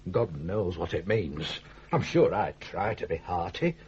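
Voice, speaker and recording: A glum, aggrieved Northern English caterer in a 1980s radio drama. His very husky voice rises and falls in animated complaint. The broadcast sound is somewhat muffled. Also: low voice, unhurried, heavily acted. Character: gloomy, grumbling Northern English son, a caterer.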